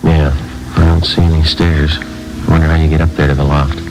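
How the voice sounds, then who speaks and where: An American actor playing a terse, stoic police sergeant in a radio crime drama, clipped, deadpan and matter-of-fact. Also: male voice, extremely low pitch, a little fast, low-quality recording. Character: terse, stoic male police detective sergeant on night watch. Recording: old radio recording, loud music behind.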